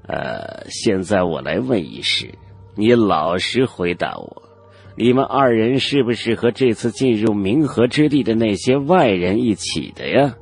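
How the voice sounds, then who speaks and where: Guy doing a haughty old martial sage, low husky voice, talks down to you, mocking, fantasy audiobook. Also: very animated, soft background music, full character voice. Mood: stern, probing. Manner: measured, condescending, archaic speech. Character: old male cultivator elder in grey robe, haughty, knowing, mocking. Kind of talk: Chinese fantasy audiobook character acting.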